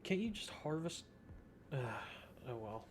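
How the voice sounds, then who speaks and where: A man's voice: on the high side, big pitch swings, a little slow, a little hiss or hum. A man giving casual gameplay commentary as a streamer, with wry asides. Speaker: game streamer.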